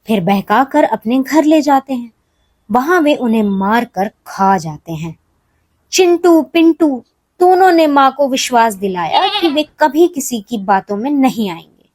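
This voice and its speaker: A woman narrates a children's story in a dry, close recording. Her delivery is expressive and measured, with lively swings in pitch and a slightly husky edge. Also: no echo.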